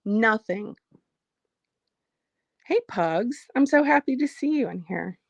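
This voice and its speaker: A woman hosting a casual livestream, reading out viewer comments and speaking frankly about her life, slightly hoarse as she loses her voice. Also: on the high side, expressive, slow, dry recording. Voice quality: frank, blunt, swears freely. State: losing her voice, a bit hoarse. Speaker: livestream host.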